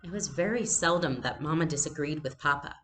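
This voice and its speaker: A woman reading a children's novel aloud as a teacher would, with expressive narration. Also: deep voice, fairly quick, slight background noise.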